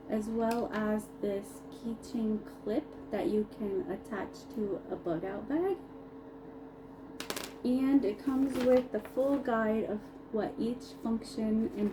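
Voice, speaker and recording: A woman giving a casual unboxing review, talking as a product reviewer in a noisy home recording. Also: fairly high pitch. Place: upstairs room in a brick house.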